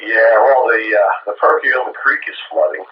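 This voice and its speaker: A man's voice: on the low side, lively intonation, normal speed. An American man making casual conversation over a CB radio transmission, with a wry, grumbling, colloquial manner. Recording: lo-fi, very noisy two-way radio reception.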